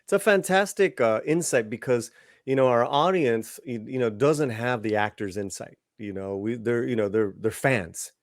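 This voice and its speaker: A man hosts a relaxed interview and asks long, winding questions full of fillers and restarts. The recording is dry and close, with no room echo. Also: mid-range voice, normal speed. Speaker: interview host. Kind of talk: casual interview.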